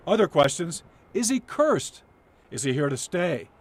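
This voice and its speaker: Animated, higher-voiced male news reporter. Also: a little slow, slight background noise. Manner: playful, dramatic storytelling. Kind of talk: scripted feature news narration.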